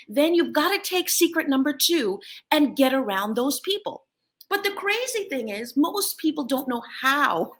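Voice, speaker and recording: Middle-aged American woman, motivational host; high, lively delivery, laughs easily. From United States. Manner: animated, laughs often. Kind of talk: motivational talk.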